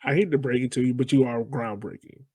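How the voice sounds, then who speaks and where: A man's voice: mid-range voice, steady tone, fast talker, dark tone, slightly rough, no room sound. A man with an American accent hosting a casual interview, chatting in a jokey, teasing way. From Virginia, United States. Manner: jokey, teasing, laughs readily. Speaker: podcast host, interviewer.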